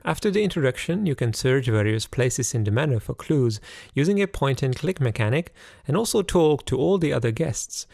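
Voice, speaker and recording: A man's voice: medium pitch, lively intonation, normal speed, creaky, a little mellow, dry recording, studio quality. A man narrating a scripted game review as its host.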